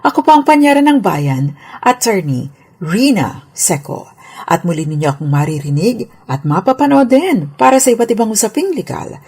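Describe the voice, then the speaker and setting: A woman's voice: mid-range voice, big pitch swings, normal speed, darkish tone, breathy edge. A woman with a Filipino accent giving a prepared radio legal explainer, formal yet conversational, dropping in English legal terms. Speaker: lawyer and radio legal commentator.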